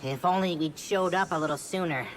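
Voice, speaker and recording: A woman voicing a small, childlike leafy forest sprite in a video game, cheeky and excitable with a complaining, exclamatory way of talking. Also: lowish voice, fairly quick, a faint crowd, lightly acted. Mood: sheepish. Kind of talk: animated video game voice acting.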